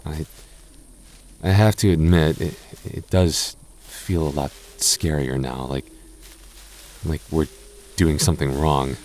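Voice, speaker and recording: A young man in a close-mic boyfriend roleplay, talking softly with halting restarts and light jokes. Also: a bass voice, fairly even intonation, a little hiss or hum. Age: young adult. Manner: soft-spoken, halting, jokey and reassuring; slow measured reading of a rhyme.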